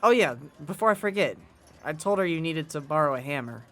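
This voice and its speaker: An eager 1920s boy with very lively intonation. He is earnest and worried, tripping over his words when pressed, in old-time radio drama style. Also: deep voice, brisk, soft background music. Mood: anxious, determined. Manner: hesitant, stammering when pressed.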